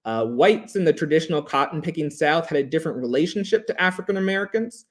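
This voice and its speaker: A man with a slightly high voice delivers a prepared history lecture. His intonation swings widely as he stresses key words. The phrasing is formal and measured, in the manner of an educational presenter. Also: lively intonation.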